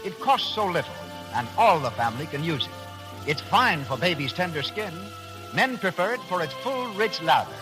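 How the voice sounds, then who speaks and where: A man's voice: a little high, sing-song, normal speed, music in the background, poor audio. A man announcing in a polished, declamatory 1930s radio style on an old broadcast recording.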